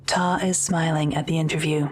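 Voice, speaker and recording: A woman's voice: low-pitched, little pitch movement, moderate pace, slight background noise. A woman reading scripted narration for an audio drama.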